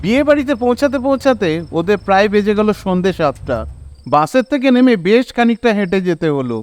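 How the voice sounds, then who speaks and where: A man with a Bengali accent narrating a cartoon story in a dramatic storytelling style. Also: sits high. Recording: clear background noise.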